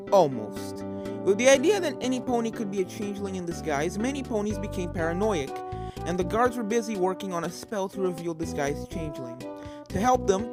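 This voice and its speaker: Story reader, medium pitch, scripted fantasy narration with music playing underneath. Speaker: fan fiction reader.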